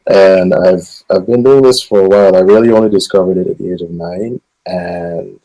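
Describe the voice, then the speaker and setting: A man's voice: low voice, steady tone, moderate pace, mellow, poor audio. A man with a Guyanese accent, a gospel singer in his thirties, talking about his life in an interview with lots of fillers. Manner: rambling with many fillers. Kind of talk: casual interview answers.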